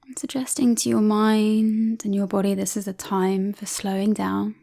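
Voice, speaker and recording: A woman leading a guided relaxation, speaking softly and breathily, often near a whisper, in a calm, soothing way with long pauses. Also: mid-range voice, gravelly.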